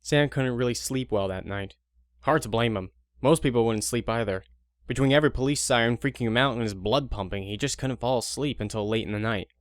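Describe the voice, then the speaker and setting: A man's voice: medium pitch, brisk, clear, resonant tone, no room sound, clean studio sound. A man narrating a scripted audio drama in an informal, wry, conversational way.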